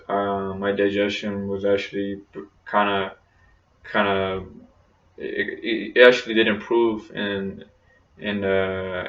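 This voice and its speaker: A man's voice: fairly low pitch, fairly bright tone. A young man talking casually in a personal vlog, rambling with lots of fillers and restarts. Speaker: vlogger. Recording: roomy, distant, dull lo-fi sound.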